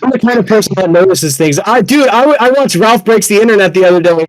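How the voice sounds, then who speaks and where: A man's voice: high-pitched, expressive, quick pace, low-quality recording. A young man chatting casually as a podcast panelist, slangy, sweary and self-deprecating. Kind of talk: casual fan chat.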